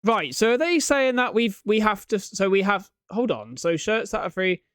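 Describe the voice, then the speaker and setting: A man with a clear, smooth voice pitched a little high reacts casually to a video. He talks his thoughts through haltingly as he goes. The sound is close and dry. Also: lively intonation, a bit bright, no echo. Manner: halting, thinking aloud.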